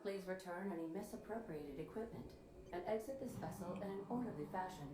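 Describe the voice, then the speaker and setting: A woman voicing a spaceship's artificial intelligence in a video game, formal and deadpan, politely menacing in measured announcements. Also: on the low side, natural voice. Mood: coolly threatening. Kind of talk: video game character acting. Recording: echoey, with clear background noise.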